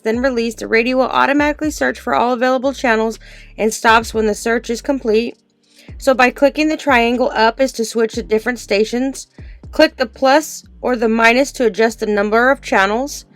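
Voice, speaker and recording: A woman with a somewhat high voice presents a casual product demonstration. She explains things informally, one step at a time, with music playing behind her. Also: fairly even intonation, normal speed. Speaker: product reviewer.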